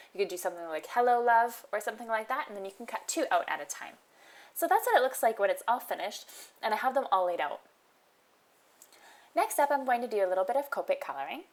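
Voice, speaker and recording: A woman hosting a card-making tutorial, talking casually through each step in a voice-over, sounding nasal from a cold she is getting over. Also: medium pitch. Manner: chatty, explains each step, frequent ums.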